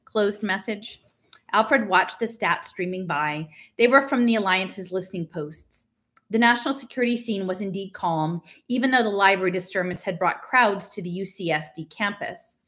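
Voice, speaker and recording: A woman's voice: mid-range voice, animated, moderate pace, fairly bright tone. Middle-aged American woman, conversational and emphatic. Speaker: host of a read-aloud stream. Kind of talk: casual talk and unrehearsed reading aloud from a book. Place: small living room at home, indoors.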